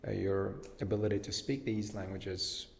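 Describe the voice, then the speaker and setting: Young male podcast guest, low gravelly voice, blunt and self-assured, reverberant hall. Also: level tone, moderate pace. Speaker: podcast guest, English teacher. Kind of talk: casual interview conversation.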